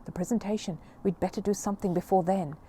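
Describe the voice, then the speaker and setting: A woman's voice: mid-range voice, fairly even intonation, quick pace, mellow, a little hiss or hum, natural voice. A woman voicing an eager, bossy schoolgirl in a children's storybook. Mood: urgent.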